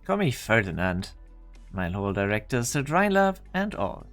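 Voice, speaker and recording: A man's voice: fairly low pitch, very animated, moderate pace, fairly bright tone, faint music behind. A man playing a busy, condescending company director in an amateur game fan dub, with a clipped manner. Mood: curt, impatient.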